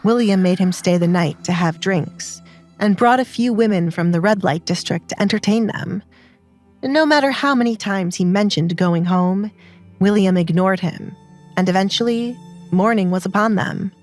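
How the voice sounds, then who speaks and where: A woman's voice: medium pitch, normal speed, a little mellow. Woman narrating fiction over faint music. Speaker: story narrator. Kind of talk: scripted dramatized narration.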